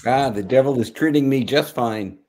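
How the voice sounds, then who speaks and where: A man's voice: medium pitch, moderate pace, a little mellow. A middle-aged man with an American accent, talking off the cuff as a panelist. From United States. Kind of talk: unscripted panel discussion. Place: home office on a video call.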